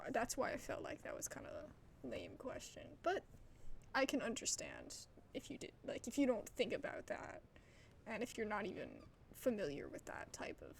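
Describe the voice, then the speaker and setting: A woman's voice: fairly high pitch, gravelly, slight background noise. A woman hosting a gaming video with casual playthrough commentary, informal and wry, often trailing off into muttered asides.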